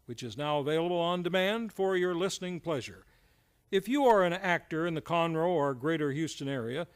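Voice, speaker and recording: High-voiced man announcing a radio show. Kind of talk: scripted old-time radio announcing, closing credits. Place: live on a theater stage.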